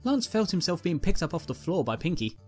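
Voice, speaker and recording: A woman's voice: on the low side, big pitch swings, fairly quick, darkish tone, faint music behind. A woman narrating a scripted fan fiction story as its reader.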